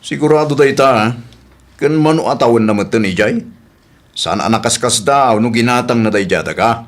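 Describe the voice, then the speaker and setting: A man's voice: medium pitch, normal speed, close to an everyday voice. Teasing Ilocano uncle played by a man. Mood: gossipy. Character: teasing, gossipy married family man, an uncle. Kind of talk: Ilocano radio comedy drama acting.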